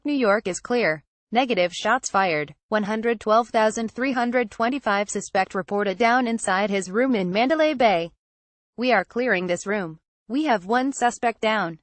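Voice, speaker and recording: A woman's voice: a little high, dry recording. A woman reads scripted news aloud as a narrator, in a synthetic, literal manner. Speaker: news reader.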